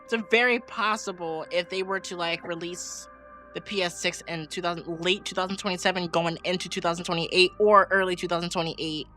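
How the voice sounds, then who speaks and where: A woman's voice: on the low side, steady tone, fairly quick, bright, forceful tone, faint music behind. A woman co-hosting a gaming podcast in casual, slangy, emphatic chat, sounding like she has a slight head cold. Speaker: podcast co-host and game streamer.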